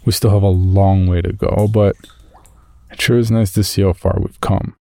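A man's voice: deep voice, little pitch movement, moderate pace, gravelly, warm tone. A man playing an amateur caver, reading his uneasy journal as first-person horror story narration. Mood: measured, uneasy.

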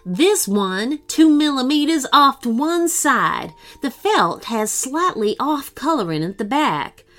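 A woman's voice: sits high, sing-song, slow, hardly any pauses, a bit bright, soft background music, full character voice. A woman voicing a fussy, pompous male collector in a storybook reading, with a theatrical manner.

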